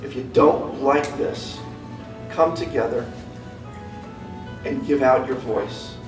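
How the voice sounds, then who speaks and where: A man's voice: mid-range voice, slow. A man giving an impassioned public speech as a community activist, driving home repeated calls to action. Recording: echoey room, distant mic, music behind.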